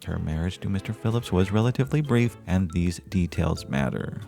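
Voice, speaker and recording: Guy acting as a polite earnest podcast host man, gravelly, fancy formal wording, bit of music behind. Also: sits low, dark tone. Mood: gentle, solemn. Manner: formal, florid, measured diction. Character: genteel, earnest, humble advice podcast host. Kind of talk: scripted podcast monologue.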